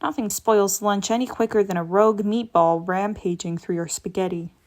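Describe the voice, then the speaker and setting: A woman reading a comic book aloud in a lively way, changing her voice for each character. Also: medium pitch, moderate pace. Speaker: read-aloud narrator.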